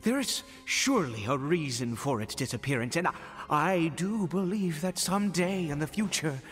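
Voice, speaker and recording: An actor playing an anguished, fanatical father in a video game, speaking in a halting, dramatic way and repeating words with frantic urgency. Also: a little high, expressive, fairly bright tone, faint music behind. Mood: reverent, brooding. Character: anguished fanatical father searching desperately for his son.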